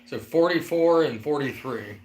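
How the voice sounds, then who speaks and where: A man's voice: on the high side, animated, normal speed, a little mellow, reverberant, slight background noise, poor audio. A man in his forties co-hosting a casual live-stream chat, rambling and teasing, with lots of "like". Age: 40s. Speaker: co-host.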